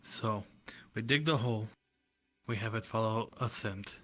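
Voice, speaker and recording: A man's voice: on the low side, a little slow, fairly bright tone. A man playing an obedient guard officer in a roleplay game, hesitant and deferential in a matter-of-fact way. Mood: dutiful, hesitant. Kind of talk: improvised roleplay in a virtual-world game.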